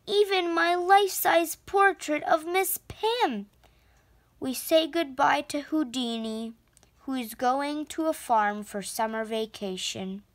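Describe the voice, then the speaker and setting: High-voiced, slow-paced woman reading a storybook. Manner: expressive, deliberate phrasing. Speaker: storybook reader. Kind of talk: children's read-aloud narration.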